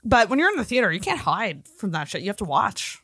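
A woman co-hosting a podcast in casual chat, expressive and quick to swear. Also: medium pitch, husky, no echo, studio quality. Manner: expressive, profane. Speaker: podcast co-host.

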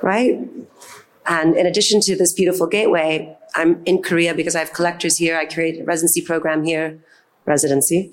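A woman's voice: low voice, steady tone, brisk, rough recording. Effusive woman moderating a stage panel. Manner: effusive, enthusiastic. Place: event stage.

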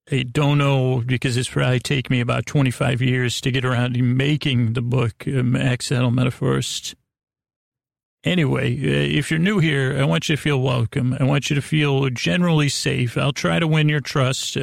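Creaky-voiced man rambling through a bedtime podcast. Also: mid-range voice, no room sound, clean studio sound. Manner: meandering, halting, stumbles over words, self-interrupting; soothing, lulling. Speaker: podcast host.

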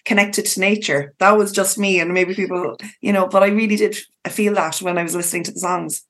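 A woman's voice: on the low side, a little fast, fairly bright tone, soft background music. A woman co-hosting an interview, offering casual, personal comments in a slightly hesitant, agreeable way. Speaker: co-host interviewer.

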